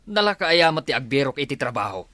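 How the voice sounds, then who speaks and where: A man with an Ilocano accent playing a gruff, lazy, hard-drinking village father in a comedy radio drama. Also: mid-range voice, very animated, quick pace, pushed and bright, no room sound. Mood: demanding, irritated.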